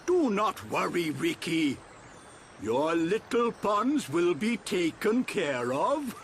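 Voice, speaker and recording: A man's voice: sits high, big pitch swings, slow, slight background noise, heavily acted. A man playing a pompous, wily, kindly old furry village chief in a video game, speaking in grand formal proclamations. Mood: reassuring, wry. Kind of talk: theatrical video game acting.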